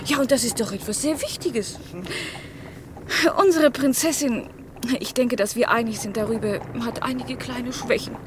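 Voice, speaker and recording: A fairly high female voice, an actress's, plays a clever lady-in-waiting in a period radio drama. She is articulate and ironic, with a haughty, mocking edge. Clear noise runs behind her. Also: normal speed, lightly acted. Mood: mocking, amused. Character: witty, sharp-tongued lady-in-waiting at a royal court. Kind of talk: German radio drama acting, period piece.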